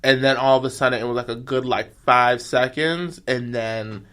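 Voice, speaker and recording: A young man with a bright, forceful voice tells brash stories in casual podcast chat. He talks loudly and swears freely. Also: medium pitch, moderate pace, clear, resonant tone. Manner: loud, animated, swears freely. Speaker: podcast guest. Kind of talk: casual storytelling.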